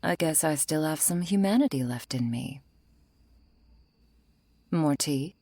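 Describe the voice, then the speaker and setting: A low-voiced, century-old vampire woman, played by an actress in an intimate ASMR roleplay. She speaks slowly and softly, slipping toward whispers. She is composed and wry, with polite menace and a trace of loneliness. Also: lively intonation, dry recording, heavily acted. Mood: wistful, calm. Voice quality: soft, breathy, often falling into whisper.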